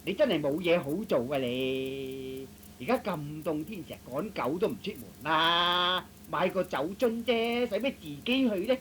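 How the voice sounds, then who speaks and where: A man's voice: on the high side, expressive, moderate pace, a bit bright. A man putting on a nagging shopkeeper's wife, faint noise. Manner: scolding, exasperated, naggy. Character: bossy, nagging, impatient female shopkeeper's wife. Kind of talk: Cantonese comic radio play acting. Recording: noisy old radio recording.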